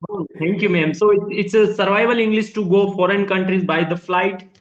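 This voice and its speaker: A man's voice: high voice, fairly even intonation, fairly quick, roomy sound, lo-fi sound. A man from India whose first language is Hindi, practicing English in earnest, polite, simple phrasing on a laptop video call. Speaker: English learner. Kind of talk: casual online conversation lesson. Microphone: laptop microphone on a video call.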